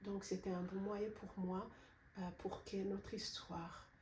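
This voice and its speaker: A middle-aged woman from Rwanda, an author giving a personal testimonial in a hesitant, measured, sincere way. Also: mid-range voice, flat delivery, a little mellow. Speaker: author presenting her book. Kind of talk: unscripted personal testimonial to camera. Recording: roomy echo, faint background noise.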